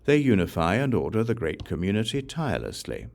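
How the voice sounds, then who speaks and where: A man reading scripture aloud as an audiobook narrator, solemn and formal, in measured phrases that fall away at the ends. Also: lowish voice, wildly swooping pitch, creaky, a little mellow. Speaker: audiobook reader.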